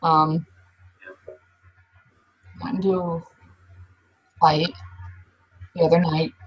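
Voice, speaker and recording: Woman playing a husky, guarded werewolf woman. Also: on the low side, poor audio. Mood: uneasy. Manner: halting, hesitant, blunt. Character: guarded, mouthy young werewolf woman with old scars. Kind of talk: improvised in-character roleplay dialogue.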